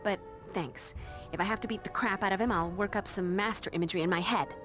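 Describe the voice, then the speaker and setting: An actress playing a wisecracking, brave teenage vampire slayer in a video game, with a flip, breezy delivery. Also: female voice, medium pitch, quick pace, over music, lightly acted. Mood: breezy, confident.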